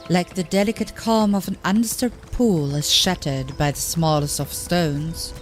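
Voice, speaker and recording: Low, slow woman reading solemn fantasy lore. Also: darkish tone. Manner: solemn, declamatory, measured. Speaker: audiobook reader.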